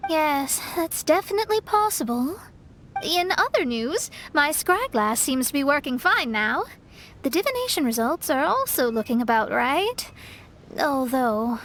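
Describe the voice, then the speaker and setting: A woman voicing a proud, vigilant young astrologer in a video game, articulate and measured. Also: high voice, fairly bright tone, a little hiss or hum.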